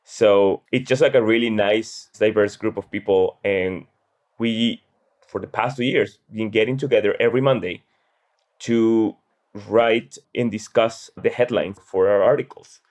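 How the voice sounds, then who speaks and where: A man's voice: lowish voice, steady tone, unhurried, a little mellow. A man from Peru talking casually as an interview guest. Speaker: guest, comedian and satire writer.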